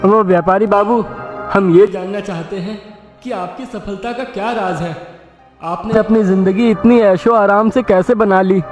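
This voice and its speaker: A man's voice: high-pitched, measured pace. A man playing a humble, discontented villager who longs for a better life, in a cartoon story. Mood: plaintive. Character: humble, discontented male villager longing for success. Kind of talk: Hindi cartoon moral story dialogue. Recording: long reverb, loud music underneath.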